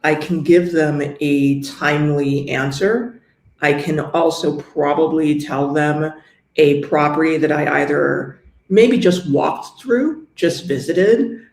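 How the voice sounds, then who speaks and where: Middle-aged New York woman, gravelly and fairly high, understated and explanatory; interview guest in an echoey room. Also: takes it slowly, darkish tone. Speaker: guest, luxury real estate agent. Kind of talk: interview conversation.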